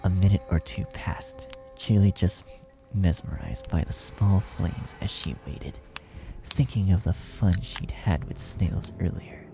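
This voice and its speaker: A man with a deep, creaky voice narrates a horror tale. He reads from a script in a dramatic storytelling style, with music clearly playing behind him. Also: measured pace, poor audio. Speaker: narrator, fan fiction reader.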